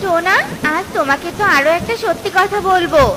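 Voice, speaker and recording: A woman's voice: extremely high pitch, brisk, background noise. A woman voicing a caring, hardworking little mother bird with a sensible manner in a children's cartoon. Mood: gentle.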